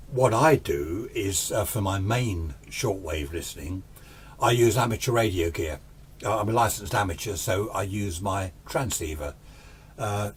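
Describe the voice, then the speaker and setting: A man's voice: lowish voice, slight background noise. A man in his sixties giving an informal, unscripted explainer as a radio hobbyist, rambling conversationally with little questions to himself. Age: 60s. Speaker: amateur radio hobbyist presenter.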